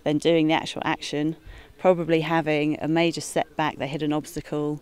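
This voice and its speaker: A woman's voice: sits low, level tone, brisk, a little mellow, clean tone. A woman on a drama production team, explaining a process in a documentary interview.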